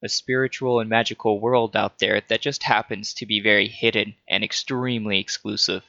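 A man reads his own story in a thoughtful, measured way. His voice is low but bright, and it comes through a dull, dry home recording. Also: fairly even intonation, gravelly, no room sound. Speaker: listener telling his story. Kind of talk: read personal testimony.

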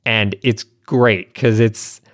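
A man's voice: fairly low pitch, fairly even intonation, takes it slowly, dry recording, studio quality. A man co-hosting a podcast, chatting casually as he poses questions and steers the discussion. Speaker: podcast co-host.

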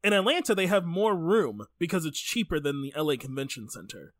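A man's voice: fairly high pitch, expressive, brisk, no echo, studio quality. A man hosting a casual podcast, telling stories in an informal, jokey, sweary way. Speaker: podcast host. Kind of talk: casual chatty podcast storytelling.

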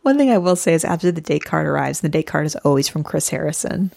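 A woman's voice: sits low, expressive, fast talker, gravelly, darkish tone, no echo, clean studio sound. A woman co-hosting a podcast, chatting as she walks through a show's plot step by step. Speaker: podcast co-host. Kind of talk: casual recap chat.

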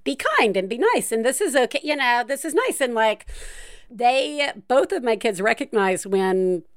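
A woman from the Deep South hosting a podcast, chatting casually with lots of fillers and laughs. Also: expressive, normal speed, dry recording, studio quality. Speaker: podcast host. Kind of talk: casual interview chat.

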